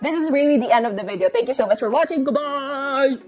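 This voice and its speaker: A woman's voice: sits high, fast, darkish tone, faint music behind. A woman in her late twenties chatting casually in a bubbly, giggly way, talking into a clip-on mic in a small apartment. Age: 20s. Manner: bubbly, giggly, sings and repeats phrases. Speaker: vlogger. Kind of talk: casual vlog chat. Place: small apartment room, indoors. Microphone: clip-on lapel mic.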